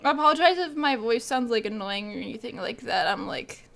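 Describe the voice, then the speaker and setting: A woman's voice: fairly high pitch, expressive, a little fast, vocal fry, fairly bright tone, soft background music. A woman streamer chatting casually with her viewers, stuffed up from a cold and allergies, rambling and laughing often. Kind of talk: casual livestream chat with viewers.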